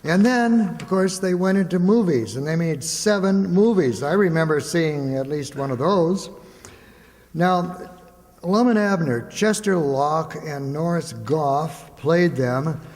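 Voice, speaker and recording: High-pitched man introducing a stage show. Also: slow pace, darkish tone. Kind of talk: informal spoken introduction to an audience. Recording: reverberant hall, live stage.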